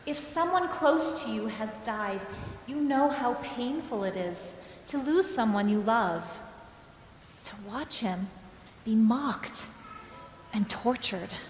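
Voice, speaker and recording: A woman's voice: fairly high pitch, lively intonation, slow pace. A woman playing a grieving female disciple in a church dramatic reading, earnest and emphatic, in short phrases. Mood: anguished. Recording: very echoey church hall with background noise.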